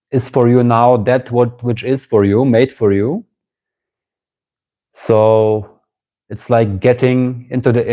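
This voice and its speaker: A man with a German accent giving a live-streamed spiritual talk, musing slowly, repeating phrases and greeting viewers as they join. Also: mid-range voice, warm tone. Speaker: spiritual teacher.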